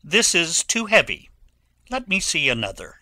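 A man playing a sharp-voiced, intense young nobleman. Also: fairly high pitch, very animated, normal speed, dry recording, exaggerated voice. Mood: proud, combative. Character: Laertes, proud vengeful young nobleman. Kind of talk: dramatic Shakespeare audiobook reading.

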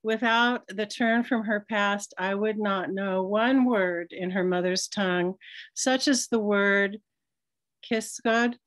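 Older woman, very slow measured delivery, dry close sound, webcam from home. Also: mid-range voice, steady tone, a bit bright, dry recording. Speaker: poet. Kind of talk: reading her own poetry. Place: home room with curtains. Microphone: laptop webcam on video call.

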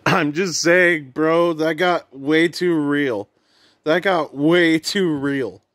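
A man's voice: a little high, slow, fairly bright tone, no echo. A young adult man talking informally and with playful drama as a vlogger telling a story, recorded on a phone held at arm's length indoors. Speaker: vlogger and host. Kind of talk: casual vlog storytelling, reading a short story aloud. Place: indoor room.

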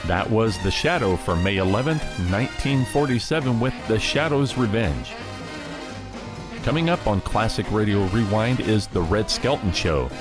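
Low-voiced man hosting over music. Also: lively intonation, normal speed. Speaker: radio show host. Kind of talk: scripted introduction of old radio shows.

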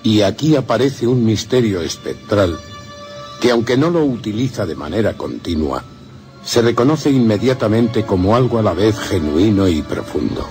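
A man reads literary prose for radio in a lowish voice. His delivery is formal and solemn, and music plays clearly behind him. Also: sing-song, normal speed. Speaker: radio reader. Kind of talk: scripted dramatic reading of literary essay. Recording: music under the voice.

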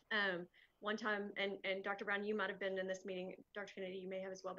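A chatty woman host with a bright, forceful voice, asking questions in a friendly, easygoing way. The recording sounds somewhat dull and lo-fi. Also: medium pitch. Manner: chatty, friendly, laughs easily. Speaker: chamber of commerce interviewer. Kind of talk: casual video interview.

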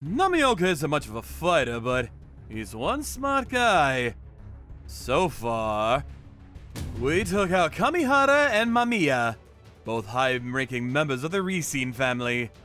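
An actor playing a hot-headed, boastful young yakuza with an emphatic, combative delivery in a scripted anime dub. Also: male voice, a little high, sing-song, slow, bright, forceful tone, faint music behind, exaggerated voice. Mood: eager.

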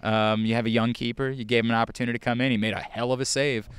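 A young male sports interviewer asks brisk, friendly questions in a smooth, resonant voice. He talks fast, standing outdoors on a grass field with a handheld mic. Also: mid-range voice, level tone, fairly bright tone, no room sound. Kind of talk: postgame interview.

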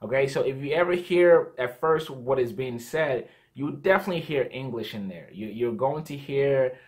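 A man's voice: mid-range voice, expressive, moderate pace, darkish tone. A young man speaks as a host with a mid-range voice. He explains things in a casual, conversational way, talking straight to the camera in a small room at home. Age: young adult. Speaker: host, pilot.

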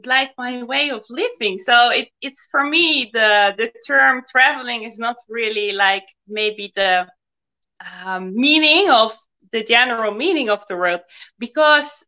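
A Dutch woman speaks in a high, bright voice, chatting openly about herself. The sound is a little muffled and dull. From Netherlands. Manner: chatty and open, with fillers. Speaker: guest. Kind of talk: interview answers. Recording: slightly muffled audio.

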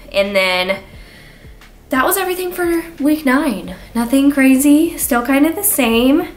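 A woman's voice: medium pitch, a bit bright, a little hiss or hum. Congested young woman chatting to camera. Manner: chatty, lots of filler "like". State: congested, stuffy nose. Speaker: vlogger. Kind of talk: casual chat to camera. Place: small room indoors. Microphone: camera on a tripod, framed from the waist up.

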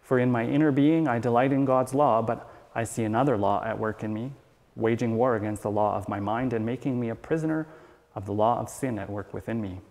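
A man's voice: medium pitch, a little fast, vocal fry, darkish tone. Man reading a sermon in a reverberant hall. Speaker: church elder reading a sermon aloud. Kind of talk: read sermon. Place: church sanctuary at a wooden pulpit, indoors.

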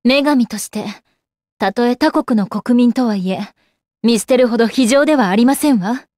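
A woman's voice: on the high side, normal speed, darkish tone, dry recording, clean studio sound, lightly acted. An actress playing a proud, composed young goddess warrior in a game, with refined, ladylike and formal speech. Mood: confident, stern. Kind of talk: Japanese anime game character acting. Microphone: close dry studio microphone.

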